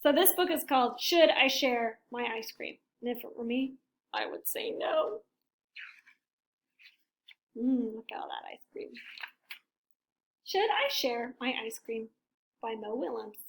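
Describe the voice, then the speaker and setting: A woman teacher reading a picture book aloud to young children, expressive and asking questions to draw listeners in. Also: on the high side, measured pace, long pauses.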